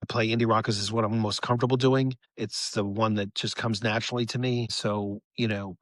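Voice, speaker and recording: Effusive, halting man in a dry-sounding interview. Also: fairly low pitch, steady tone, dry recording. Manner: effusive, halting, filler-heavy enthusiasm. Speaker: musician guest, songwriter. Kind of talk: casual podcast interview.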